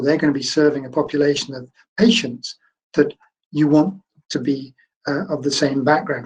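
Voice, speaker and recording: Croaky-voiced older man lecturing. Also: on the high side, darkish tone, rough recording. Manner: conversational, encouraging explainer. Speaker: medical school professor. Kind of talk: online lecture.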